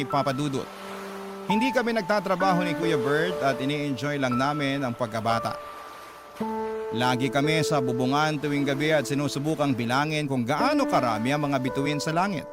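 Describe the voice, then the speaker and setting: A man's voice: a little high, natural voice. A man narrating a radio drama as a young woman looking back wistfully on her family. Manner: wistful, reflective.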